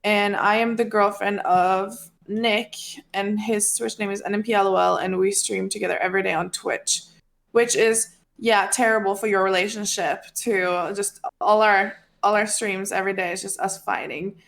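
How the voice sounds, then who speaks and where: Creaky-voiced young woman, native Norwegian speaker. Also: medium pitch, steady tone, moderate pace, fairly bright tone. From Norway. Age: 20s. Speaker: podcast co-host, streamer. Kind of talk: casual chat.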